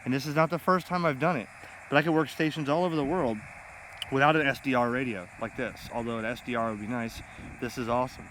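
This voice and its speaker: A middle-aged American man talks casually as a hobbyist vlogger, rambling along with easy enthusiasm in a mid-range voice. He is recorded outdoors on a camera mic, with clear ambient noise and insects behind him. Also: mid-range voice, steady tone, fast talker, a bit bright, low-quality recording. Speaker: ham radio hobbyist and video host. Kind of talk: casual unscripted gear review vlog. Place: outdoors on grass beside a pond under shade trees.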